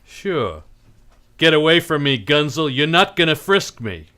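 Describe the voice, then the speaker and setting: An actor playing a tough, defiant private detective in an old-time radio drama, blunt and commanding. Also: male voice, fairly high pitch, very animated, measured pace, sharp tone, heavily acted.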